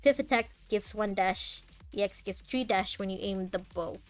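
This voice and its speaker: A chatty woman livestreams a game with casual, wandering commentary. Her voice is medium in pitch and giggly, with faint game music behind it. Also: moderate pace. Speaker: game streamer.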